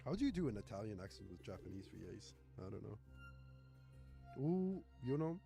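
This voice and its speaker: Chill sounding guy streamer, mellow tone, casual chat, faint music behind. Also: on the low side, big pitch swings, brisk, gravelly. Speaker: game streamer. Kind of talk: casual livestream commentary.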